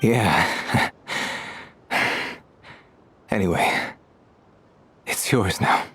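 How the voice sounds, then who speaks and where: A slow, weary, dying old thief. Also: male voice, deep voice, fairly bright tone, slight background noise, full character voice. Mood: wistful. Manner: halting, resigned, wry. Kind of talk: dramatic video game voice acting.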